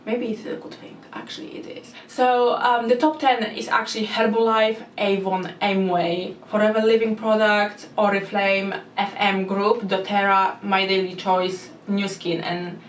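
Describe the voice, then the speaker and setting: A woman with a Polish accent talks to camera in an earnest, casual way, restarting often as she works through her non-native phrasing. Her pitch stays flat and even. She sits back from the mic in an echoey room, with a faint hum behind her. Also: measured pace, hardly any pauses, distant mic. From Poland. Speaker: YouTuber. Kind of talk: casual explainer monologue. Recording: roomy echo, speaker away from the mic.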